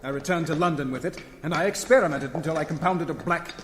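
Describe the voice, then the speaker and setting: An actor playing an older expedition scientist, formal and apologetic, in an old-time radio adventure. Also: male voice, a little high, quick pace, fairly bright tone, close to an everyday voice. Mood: explaining, contrite. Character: earnest, contrite older expedition scientist, father. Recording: echoey, noisy old-time radio recording.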